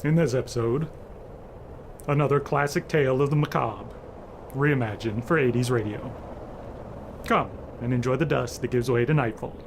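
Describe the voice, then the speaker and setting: A man's voice: medium pitch, normal speed, gravelly, long pauses, background noise. A man hosting a show, reading a scripted introduction to an old radio drama. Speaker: show host.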